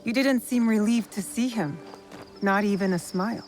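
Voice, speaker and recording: A woman's voice: medium pitch, normal speed, a little mellow, soft background music, lightly acted. A woman playing a determined young avenger in a video game, speaking in a direct, controlled, probing way. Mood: confrontational. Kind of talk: video game cutscene acting.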